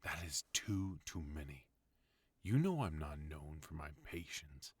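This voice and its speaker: A man playing a ruthless mafia boss husband, very deep. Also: very animated, breathy edge, dry recording, exaggerated voice. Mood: cold, threatening. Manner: cold, measured menace. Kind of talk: ASMR audio roleplay.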